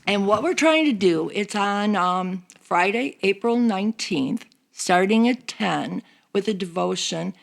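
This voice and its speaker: An older American woman with a creaky voice, chatting conversationally and announcing an event as a member of a church group. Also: mid-range voice, animated, measured pace, hardly any pauses. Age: older, over 50.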